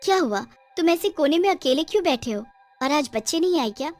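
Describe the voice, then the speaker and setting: A woman's voice: high voice, lively intonation, brisk, a little mellow, faint music behind, voice pushed up. A woman voicing a headstrong, girlish young dancer in a story cartoon, speaking emphatically. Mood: bright, curious. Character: headstrong young woman who loves dancing.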